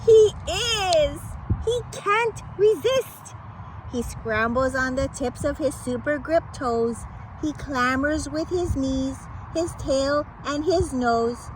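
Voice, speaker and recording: A woman reading a picture book aloud to children in a dramatic, playful storytelling manner with exclamations and suspenseful pauses. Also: high voice, noisy background.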